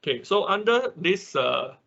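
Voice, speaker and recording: A man teaching an informal online software tutorial, explaining step by step in a halting way with frequent check-in tags. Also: high voice, very animated, vocal fry, highs cut off. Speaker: instructor of an online robotics class.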